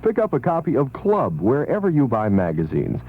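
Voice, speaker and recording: A man's voice: mid-range voice, wildly swooping pitch, normal speed. A man reading a scripted 1970s radio commercial as an announcer. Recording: husky-sounding, narrow old radio recording.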